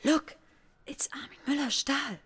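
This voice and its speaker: Hushed, informative young woman. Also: sits high, a little slow, no room sound. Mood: hushed, eager. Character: young woman explaining films to her friend in hushed tones. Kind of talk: acted scene in a narrated motion comic.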